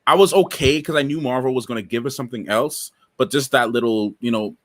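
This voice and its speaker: A man from Guyana talking casually as a panelist in a live discussion, animated and quick to laugh. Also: mid-range voice, no room sound.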